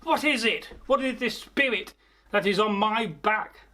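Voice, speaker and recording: A man's voice: sits high, measured pace, sharp tone, pitched above the performer's own voice. A man voicing a burdened, puzzled Viking villager in a told folktale, in a theatrical, bewildered manner. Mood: strained, puzzled.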